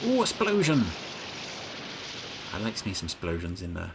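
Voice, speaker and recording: A man hosting a podcast, giving wry, hesitant and expressive running commentary on a television episode. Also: low-pitched, moderate pace, creaky, noisy background. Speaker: podcast host.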